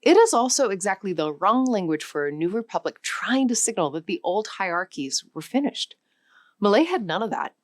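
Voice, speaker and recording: A woman giving scripted educational narration in a measured, emphatic delivery. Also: medium pitch, sing-song, no room sound, studio quality.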